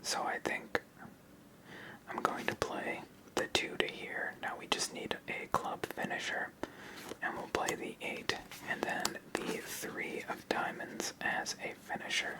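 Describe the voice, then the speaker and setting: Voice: unhurried, low-quality recording. Whispering ASMR game host. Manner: whispering throughout. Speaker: host of a tabletop card game channel. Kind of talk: whispered game play-through commentary.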